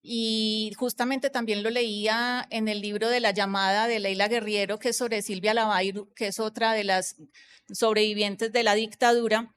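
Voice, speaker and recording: A woman's voice: medium pitch, flat delivery, moderate pace, fairly bright tone, clean tone. A woman moderating a festival panel, posing questions in long, flowing turns. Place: stage at a public festival panel.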